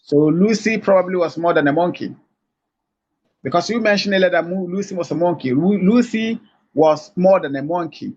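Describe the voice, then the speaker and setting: A man from Africa, calling in, who argues in a halting, lecturing way full of fillers and restarts. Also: high-pitched, darkish tone, a little hiss or hum. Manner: hesitant, many fillers and restarts. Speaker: caller. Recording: muffled lo-fi call audio.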